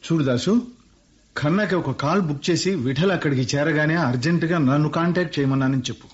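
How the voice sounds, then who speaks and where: A man's voice: fairly high pitch, fast, lightly acted. Brief: an actor as a playful, commanding middle-aged businessman, old lo-fi radio play. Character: wistful middle-aged male industrialist. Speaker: lead character. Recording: muffled radio play with faint music.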